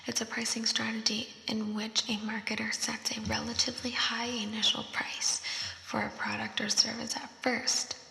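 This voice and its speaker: A young woman doing ASMR, whispering softly as she reads quiz questions and thinks through them aloud, with a voice effect on her whisper. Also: a little high, monotone, gravelly. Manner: soft unvoiced whisper, slow and thinking aloud. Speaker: ASMR creator. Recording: roomy echo with long reverb.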